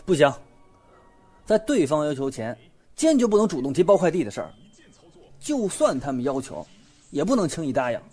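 Lively, bossy young businessman, played by a man. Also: on the high side, moderate pace, long pauses, husky, soft background music. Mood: brisk, insistent. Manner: animated, coaching. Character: shrewd, bossy, lively young businessman, soft-hearted underneath. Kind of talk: Chinese audio drama acting.

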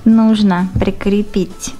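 Woman, medium pitch, slow instructional delivery in short phrases, music underneath. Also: fairly even intonation, dark tone. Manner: unhurried, short phrases, fond diminutives. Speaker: craft tutorial presenter. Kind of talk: step-by-step instructional narration.

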